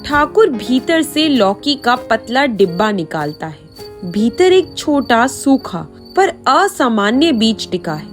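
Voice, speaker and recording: A woman narrating an animated folk story in a scripted storytelling style. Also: on the high side, takes it slowly, faint music behind.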